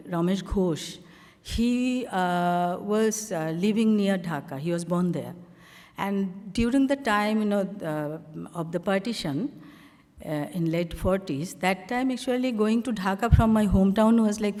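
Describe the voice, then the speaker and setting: A woman from Bangladesh with a Bangladeshi accent, an artist telling the story behind her slides in a halting, conversational way full of fillers. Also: mid-range voice, darkish tone, big-room sound. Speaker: artist presenting her work on a panel. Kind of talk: conversational talk walking through slides.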